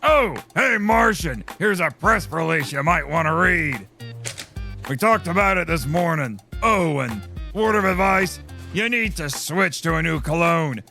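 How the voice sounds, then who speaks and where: A man's voice: on the high side, expressive, slow, creaky, sharp tone, soft background music, full character voice. A man playing a cocky, teasing, wisecracking buddy in an audio drama.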